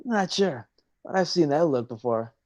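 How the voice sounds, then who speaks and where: Sea god as teasing surfer bro male actor: relaxed, mellow, slangy stage delivery. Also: fairly high pitch, moderate pace. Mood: needling. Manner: slangy, drawling surfer talk. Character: laid-back surfer-dude sea god, Poseidon, young and teasing. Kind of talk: live staged play reading.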